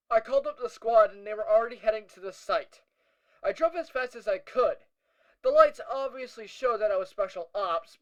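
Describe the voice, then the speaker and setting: A performer playing a special ops squad leader who records a scripted first-person audio log in a measured, matter-of-fact way. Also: medium pitch, natural voice, poor audio. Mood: serious, reporting. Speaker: narrator.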